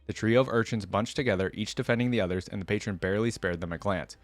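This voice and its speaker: A man with a fairly low voice reads fiction aloud as a video host. He moves between expressive narration and casual commentary, in a very dry recording. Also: fast, no room sound. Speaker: reaction video host reading a story aloud. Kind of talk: expressive story reading with casual asides.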